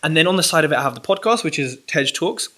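A young man chatting casually as a podcast guest, talking in an animated, rambling way with restarts. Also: a little high, fast talker. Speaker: podcast guest, property entrepreneur. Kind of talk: casual interview chat.

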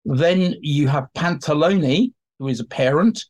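A man's voice: fairly high pitch, measured pace, darkish tone. Chatty man explaining history informally. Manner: chatty, informal, acts out quotes and asides. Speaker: expert guest. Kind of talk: animated historical explanation in an interview.

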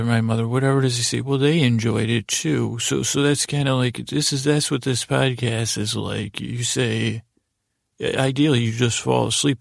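Very creaky, rambling male podcast host. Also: mid-range voice, dry recording, studio quality. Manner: meandering, halting, stammering, repeats words and goes on tangents; lulling, soothing delivery. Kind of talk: rambling intro to a bedtime story podcast.

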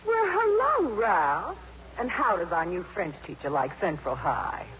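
An actress playing a coquettish, gushing schoolteacher with an arch, fond manner in a 1950s radio drama. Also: female voice, on the low side, sing-song, a little slow, a bit bright. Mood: coy, teasing. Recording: muffled, noisy 1950s radio recording.

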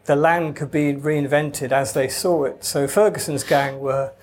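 A man's voice: on the high side, fairly even intonation, measured pace, slight background noise. A man from Wales with no Welsh accent, an author talking casually in an interview, wry and digressive with hesitant restarts. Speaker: author guest.

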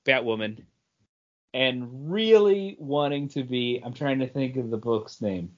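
A man co-hosting a comic book podcast, chatting casually and haltingly as he thinks aloud. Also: mid-range voice, animated. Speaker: podcast co-host reviewing comic books. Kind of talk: casual chat. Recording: dull, band-limited audio.

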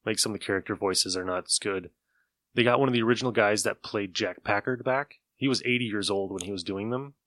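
A man's voice: lowish voice, fast, a bit bright, no echo. A man hosting a podcast, chatting casually as he introduces old radio shows. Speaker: podcast host.

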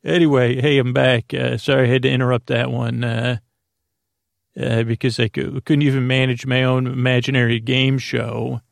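A man hosting a bedtime podcast in a rambling monologue, halting and meandering as he stammers, droning along and interrupting himself. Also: little pitch movement, vocal fry, no echo, studio quality. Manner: halting, meandering, self-interrupting, often stammers over words; droning, low-stakes delivery. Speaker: podcast host.

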